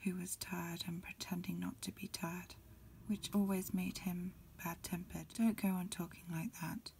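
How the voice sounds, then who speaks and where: A woman reading a storybook in a breathy ASMR whisper, softly and unhurriedly, phrase by phrase. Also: fairly low pitch, fairly even intonation, a little slow, a little hiss or hum. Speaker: amateur reader. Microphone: lo-fi home recording.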